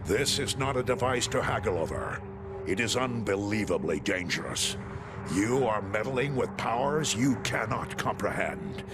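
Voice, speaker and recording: A man playing a noble, solemn giant robot commander in a video game, with a deep gravelly voice and a grave, formal, commanding manner. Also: animated, normal speed, close to an everyday voice. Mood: stern. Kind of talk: video game cutscene voice acting. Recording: loud music under the voice.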